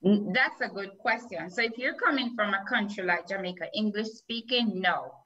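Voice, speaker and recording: A woman's voice: mid-range voice, moderate pace, bright, forceful tone. A middle-aged woman, an attorney hosting a talk show, explaining legal matters step by step with examples over a video call. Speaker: host, immigration attorney. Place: small plain room indoors, video call. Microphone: laptop or webcam microphone on video call. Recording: dull, lo-fi video-call audio.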